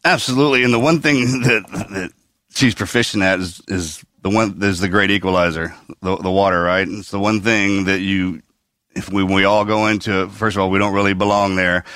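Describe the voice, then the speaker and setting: A man's voice: low voice, steady tone, fairly quick, a bit bright, dry recording. A man co-hosting a podcast, emphatic and earthy, laughing between his thoughts. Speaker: co-host, former Navy SEAL. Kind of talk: casual podcast chat.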